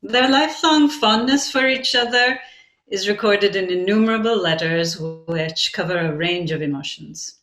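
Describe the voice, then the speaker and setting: A woman playing a reflective modern Bengali narrator, telling a poet's life in measured, expressive scripted narration. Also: medium pitch, natural voice. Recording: echoey, roomy sound.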